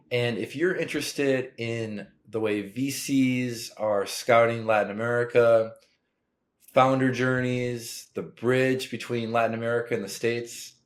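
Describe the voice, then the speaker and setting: A middle-aged man hosting a podcast, introducing his guest and asking questions in an upbeat, friendly way. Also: mid-range voice. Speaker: podcast host.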